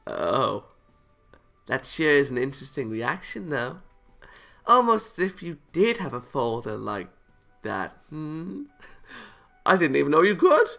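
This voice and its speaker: A man's voice: on the high side, wildly swooping pitch, unhurried, gravelly, long pauses, fairly bright tone, faint music behind, exaggerated voice. A man voicing a mischievous, teasing teenage girl from an anime visual novel in a coy way. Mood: playful teasing.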